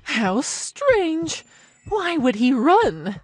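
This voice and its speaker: High-pitched scolding cartoon villainess. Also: female voice, very animated, drawn-out words, full character voice. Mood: self-consoling, giddy. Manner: theatrical, scolding, dramatic. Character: vain, menacing woman teacher, scolding cartoon villain. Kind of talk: exaggerated mobile game voice acting. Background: game music.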